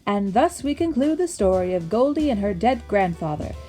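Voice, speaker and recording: A woman's voice: mid-range voice, big pitch swings, moderate pace, mellow, natural voice. A young woman reading scripted storybook-like narration for a radio play. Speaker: epilogue narrator. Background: music.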